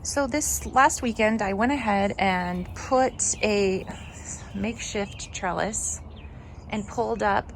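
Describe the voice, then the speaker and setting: A woman with a noticeably creaky, medium-pitched voice hosts a casual garden tour. She chats in a musing, unscripted way, with some ambient noise behind her on the recording. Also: slow. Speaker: gardening vlog host.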